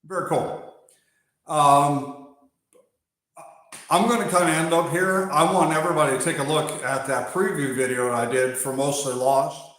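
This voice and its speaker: A man's voice: a little high, fairly even intonation, fairly bright tone. American-accented man on a very echoey stream. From Germany. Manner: unhurried, pausing with fillers, answering chat between thoughts. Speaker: wildlife channel host. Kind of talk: live stream chat with viewers. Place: outdoors or open area while working on a bike. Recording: very echoey space.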